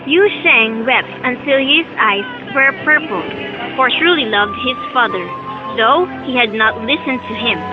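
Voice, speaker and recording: A woman's voice: fairly high pitch, a little slow, highs cut off, over music. A young woman reading scripted storybook narration for an amateur audio drama. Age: young adult.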